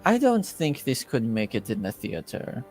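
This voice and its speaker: A man's voice: medium pitch, huge pitch swings, normal speed, a little mellow, soft background music. A man voicing a dismissive masked harlequin woman of the theater in a tabletop game, curt and appraising.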